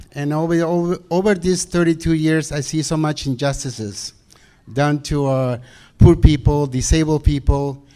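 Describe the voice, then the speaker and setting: A middle-aged man from Peru, giving a hesitant campaign speech full of pauses and fillers at a community meeting. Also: fairly high pitch, darkish tone. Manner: hesitant, many fillers and restarts. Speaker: council candidate. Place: community hall.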